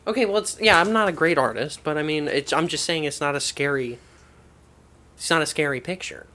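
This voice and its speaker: A man playing a blunt, bossy therapist hosting a comedy sketch, pressing his patient and jumping loud when he insists. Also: on the high side, brisk. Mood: insistent. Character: pushy, self-important male TV therapist, blunt and bossy. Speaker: host.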